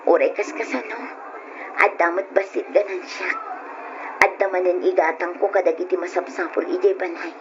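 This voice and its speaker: A woman's voice: lowish voice, lightly acted. A woman playing a proud, hardworking market vendor and wife in her thirties, earnest and emotional in an old-style radio drama. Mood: weary relief. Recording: muffled radio recording, faint noise.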